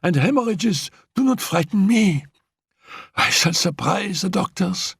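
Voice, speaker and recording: A man's voice: expressive, a little slow, gravelly, a bit bright, slightly rough, dry recording, clean studio sound, heavily acted. A man voicing a dying young woman in an audiobook, breathless and coughing, defiant and imperious, laughing between pleas and commands. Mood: feverish, defiant. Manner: high-pitched, laughing, pleading and commanding. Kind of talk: audiobook character reading.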